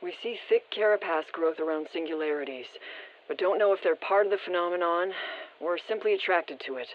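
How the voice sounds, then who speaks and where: Restrained briefer over muffled radio. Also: female voice, on the low side, fairly quick. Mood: sober, informative. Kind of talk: scripted video game voice lines. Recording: muffled, band-limited radio transmission.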